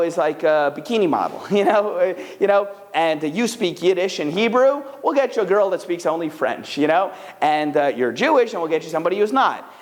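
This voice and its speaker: A man's voice: a little high, in a large hall. A man talking casually and animatedly to a live audience, answering questions. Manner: chatty, animated, full of fillers.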